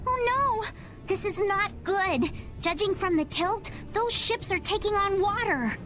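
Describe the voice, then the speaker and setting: An actress voicing a frantic young woman in a game cutscene, with a high, strained voice. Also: measured pace, fairly bright tone. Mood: worried. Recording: game audio with music behind.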